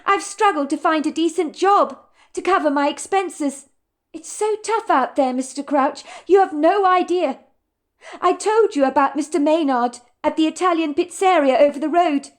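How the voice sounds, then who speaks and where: A woman's voice: normal speed, natural voice. A woman voicing an earnest young waitress in an audiobook story, speaking in a raised, emotional, pleading voice. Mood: apologetic. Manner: high, pleading, tearful delivery.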